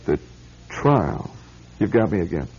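Wry young bachelor, impatient, husky mellow voice, swooping intonation, old radio drama. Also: male voice, sits low, very animated, unhurried, exaggerated voice. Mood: skeptical, puzzled. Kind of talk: classic radio drama acting. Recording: dull, lo-fi old radio recording.

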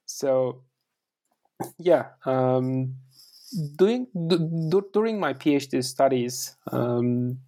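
A man from Turkey, a native Turkish speaker with a Turkish accent, answering as an interview guest in a conversational, halting way that repeats words while searching for phrasing. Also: medium pitch, animated, mellow. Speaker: interview guest, author and academic.

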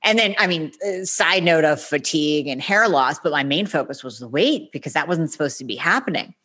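A woman's voice: low voice, fairly quick. A woman in her forties, a podcast guest, telling her own story candidly in a casual interview and acting out what others said. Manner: candid, animated, acts out quoted speech.

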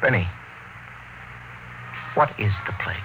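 An actor playing a weary, impassioned space captain facing death in a 1950s radio drama, with an intense, brooding delivery. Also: male voice, unhurried, narrow, tinny audio, a little hiss or hum, lightly acted. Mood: impassioned, bitter. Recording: old radio recording with loud music.

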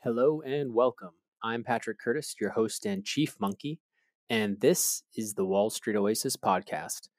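A man's voice: fairly low pitch, measured pace, no echo, studio quality. A man hosting a podcast, reading an upbeat intro and asking short questions. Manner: upbeat, promotional in intro, brief prompts. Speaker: podcast host. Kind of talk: interview hosting and scripted intro.

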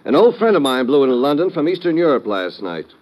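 An actor playing a weary, earnest intelligence man in a brisk, confiding 1950s radio drama style. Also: fairly high pitch, sing-song, muffled recording. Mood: earnest, briefing. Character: weary, earnest male intelligence contact briefing a colleague.

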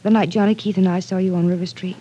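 A loyal, firm young heroine of a vintage radio crime play. Her voice is lowish, very mellow and husky, and she speaks earnestly and politely. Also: steady tone, fast talker, highs cut off. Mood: firm, questioning. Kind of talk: old-time radio crime drama acting.

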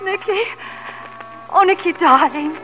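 An actress playing a playful, loving wife with a coy, wry manner, in 1940s radio comedy style. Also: female voice, very high-pitched, unhurried, pushed and bright, faint music behind, full character voice, rough recording. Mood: tender, playful. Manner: coy, wry, light and unruffled.

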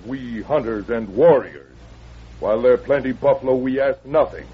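An actor playing a proud, stern Sioux chief in a 1950s radio western, with formal, stilted broken English. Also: male voice, medium pitch, moderate pace, breathy edge, close to an everyday voice. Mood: stern, demanding. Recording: muffled old radio recording with hiss.

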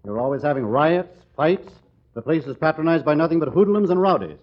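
An actor playing a stern, curt, disapproving bank official in an old radio comedy. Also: male voice, a little high, animated. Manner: brisk, disapproving. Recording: dull old radio recording.

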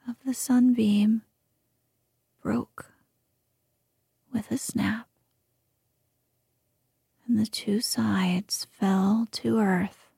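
A woman narrates a fairy tale entirely in a soothing whisper, in a very unhurried way. Her voice is breathy and husky, with a gravelly undertone. The recording is dry and close to the mic. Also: medium pitch, dark tone, dry recording. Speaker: storyteller. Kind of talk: whispered ASMR storytelling.

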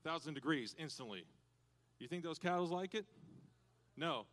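Energetic preacher man, fairly high voice. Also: moderate pace, slight background noise. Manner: energetic, bursts into loud cowboy shouts. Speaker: church speaker addressing youth and parents. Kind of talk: lively hands-on sermon with props.

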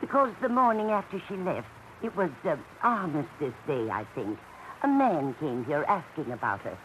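A woman's voice: low-pitched, very animated, moderate pace, a bit bright. A woman playing a genteel, chatty older landlady, musing and theatrical, in 1940s radio style. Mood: confiding. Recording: noisy vintage radio drama recording.

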